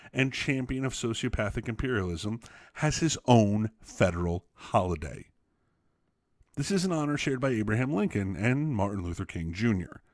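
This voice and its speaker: A man reading an essay aloud as a teacher, expressively, with emphatic, deliberate phrasing. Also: on the low side, dry recording, studio quality. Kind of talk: expressive read-aloud narration for students.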